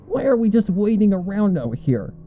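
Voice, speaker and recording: A man voicing a brash, impatient female pony with a raspy voice in a fan story reading. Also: sits high, very animated, normal speed, phone-quality sound, a little hiss or hum, pitched above the performer's own voice.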